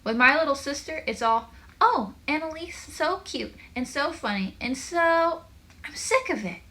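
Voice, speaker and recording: A competitive, easily fed-up kid, put on by a woman reading a storybook, speaks in a lifted, bright voice. The child is smug and declares each point with emphasis. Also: high-pitched, expressive, unhurried, pitched above the performer's own voice, exaggerated voice. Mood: fed up, mocking.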